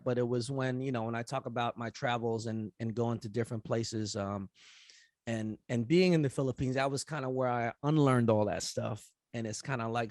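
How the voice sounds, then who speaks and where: A middle-aged man talking casually at a forum, relaxed and rambling with many fillers. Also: medium pitch, no room sound, studio quality. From United States. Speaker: rapper and event host speaking at a forum. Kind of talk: casual conference talk after a rap performance.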